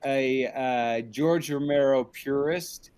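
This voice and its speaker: A man's voice: medium pitch, slow pace, darkish tone, clear, resonant tone. Candid middle-aged man, reflective interview guest. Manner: candid, self-deprecating. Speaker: interview guest, film director. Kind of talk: reflective casual interview talk. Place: indoors, on a group video call.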